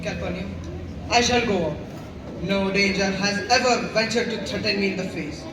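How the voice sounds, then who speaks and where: A man's voice: sits high, steady tone, moderate pace, lightly acted. A young man acting a proud, fearless Roman ruler in a school play, declaiming boastfully. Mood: proud, resolute. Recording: echoey noisy hall from far off.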